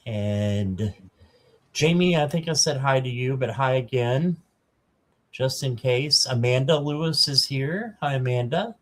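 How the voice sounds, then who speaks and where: Guy in his 50s running a live stream, slow and halting, lots of ums, saying hi to chat one by one. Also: mid-range voice. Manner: slow, halting, greets viewers by name with many ums. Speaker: livestream host. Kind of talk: casual livestream chat.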